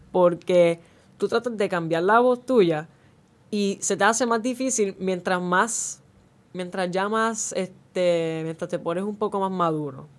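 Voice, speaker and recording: High youthful teen guy. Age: late teens. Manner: informal, explanatory. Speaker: student voice actor, interview guest. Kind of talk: casual interview talk.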